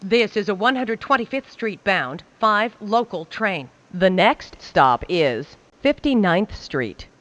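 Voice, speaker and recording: Slow, measured female transit announcer. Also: medium pitch, lively intonation, fairly bright tone, no room sound. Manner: measured, carefully enunciated, phrase by phrase. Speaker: subway train announcer. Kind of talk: scripted automated transit announcements.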